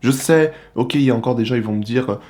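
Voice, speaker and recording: A young man from the Republic of the Congo giving a casual, animated, slangy monologue to the camera as a vlogger. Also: mid-range voice, fast talker. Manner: animated, slangy, rhetorical questions.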